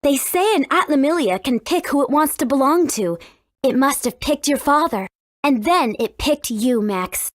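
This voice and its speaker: A woman's voice: high-pitched, expressive, normal speed, dry recording, clean studio sound. A woman voicing a confident, determined young heroine in a video game. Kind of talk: video game character acting.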